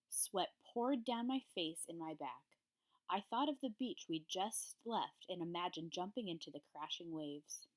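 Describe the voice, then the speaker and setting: A woman, a primary school teacher reading a children's story aloud to her pupils. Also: lowish voice. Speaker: third grade teacher.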